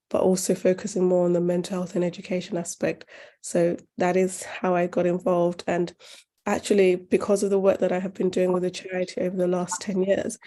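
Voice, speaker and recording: Low, even-toned woman speaking earnestly. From northern Uganda, raised in the UK. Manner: measured, earnest, with some pauses and fillers. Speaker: charity founder and guest speaker. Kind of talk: forum talk and answering questions.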